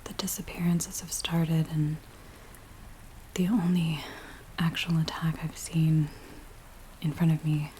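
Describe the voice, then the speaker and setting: A woman playing a frightened villager in a soft, breathy, whispered ASMR roleplay, speaking in a hushed, hesitant way. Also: low-pitched, low-quality recording. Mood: grave, haunted. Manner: hushed, hesitant, slow storytelling. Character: frightened village woman pleading with a werewolf tracker. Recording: steady rain-like background noise. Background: rain sounds.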